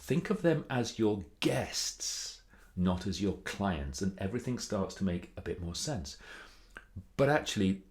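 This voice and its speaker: Animated, low-pitched British man. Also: a little slow. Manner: theatrical, emphatic, chatty delivery. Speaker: presentation coach hosting a video series. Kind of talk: informal to-camera advice talk.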